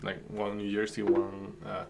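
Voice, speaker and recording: A man from the Dominican Republic with a Dominican Spanish accent, a native Spanish speaker hosting a live trading stream with casual, joking chat and halting, restarting market commentary. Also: lowish voice, completely flat delivery, vocal fry, muffled recording, over music. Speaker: day trading streamer host.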